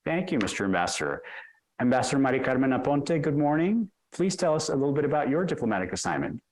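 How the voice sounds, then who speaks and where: A man with a mid-range voice moderating a formal panel. He is polite and even, reading prepared questions and handing the floor to each speaker over a video call. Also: medium pitch, fast.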